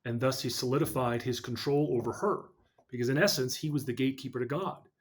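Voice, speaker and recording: Man, podcast guest, earnest, measured, mid-pitched voice, steady storytelling. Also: husky. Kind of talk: personal story in an interview.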